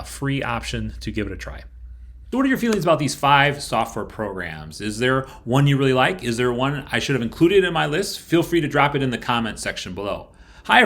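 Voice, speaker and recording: A man hosting an informal review video, explaining things to viewers in a halting way. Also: medium pitch, gravelly, fairly bright tone. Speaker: host of a screenwriting review channel.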